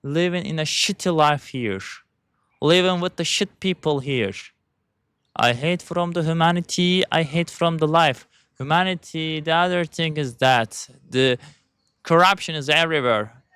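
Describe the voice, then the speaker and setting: A man vents in a halting, bitter monologue, starting over again and again. His voice sits a little high and rings bright and smooth. The sound is close and dry. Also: no room sound. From Turkey. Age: 20s. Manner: bitter, ranting, halting with frequent restarts. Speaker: YouTuber. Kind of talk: personal vlog monologue.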